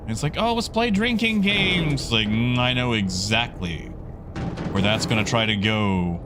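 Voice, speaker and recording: A man's voice: mid-range voice, animated, measured pace. Casual male streamer over noisy background. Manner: rambling, conversational, addresses chatters by nickname. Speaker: livestream host. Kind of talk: casual livestream chat with viewers.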